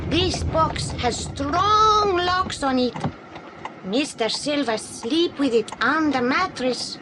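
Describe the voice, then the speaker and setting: Audio play role: earnest young Spanish-accented boy, very high-pitched, simple broken English, noisy old recording. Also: expressive, slow pace. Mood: earnest recounting. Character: earnest young immigrant boy, Spanish-speaking, telling a story. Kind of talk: British audio drama acting.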